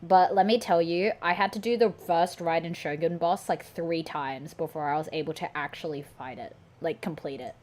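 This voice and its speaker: A woman's voice: sits low, fairly even intonation, moderate pace, few pauses, a little mellow. A woman streamer chatting playfully and cracking jokes while playing a video game. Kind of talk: gameplay commentary.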